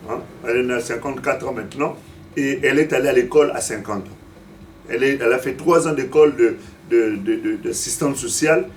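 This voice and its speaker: Halting African-born pastor preaching from afar. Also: male voice, medium pitch, slight background noise. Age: 50s. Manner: halting, repeats words while searching for them; asks the congregation direct questions. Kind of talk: sermon. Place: church service. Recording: distant mic with faint room ambience.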